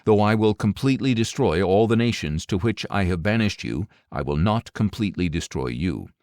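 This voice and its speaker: A man narrating scripture as an audiobook, reading from the text in a solemn, measured way with deliberate, clearly enunciated phrasing. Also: lowish voice, animated, a little mellow, no room sound, clean studio sound.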